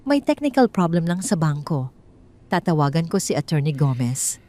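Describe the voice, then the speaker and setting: A woman's voice: deep voice, big pitch swings, normal speed, dark tone. A flustered businessman husband caught in his own lies, voiced by a woman narrator. He is anxious and demanding, in the manner of a melodramatic audio story.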